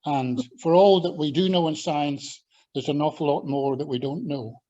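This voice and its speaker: An older man from Scotland with a Scottish accent, giving a measured, thoughtful talk to a church audience, often pausing with ums and restarting sentences. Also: a little high, mellow, highs cut off. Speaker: church talk speaker, former agriculture lecturer. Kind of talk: presenting a talk with slides.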